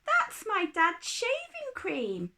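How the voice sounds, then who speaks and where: A curious young storybook girl, put on by a woman reader, earnest and easily astonished. Her voice is pushed up high and bright, with an inquisitive, swooping lilt. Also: huge pitch swings, slow pace, full character voice. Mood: protesting. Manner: wide-eyed, inquisitive.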